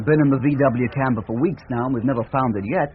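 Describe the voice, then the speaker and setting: An actor playing an easygoing American hippie drifter with a folksy, hesitant way of talking in a naturalistic radio drama. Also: male voice, mid-range voice, fast, close to an everyday voice. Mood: earnest, wistful. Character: easygoing drifting American hippie traveler, devoted to his partner. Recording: tinny, band-limited, noisy radio recording.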